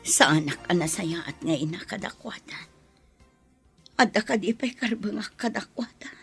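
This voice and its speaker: An actress playing a sorrowful, ailing mother in a radio drama, plaintive and breathy, full of longing. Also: mid-range voice, animated, normal speed, a bit bright, faint music behind.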